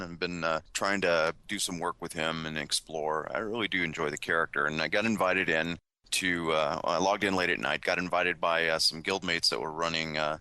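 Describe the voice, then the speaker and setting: Deep, animated man with a bright voice. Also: no echo. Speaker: podcast panelist. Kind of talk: casual chat.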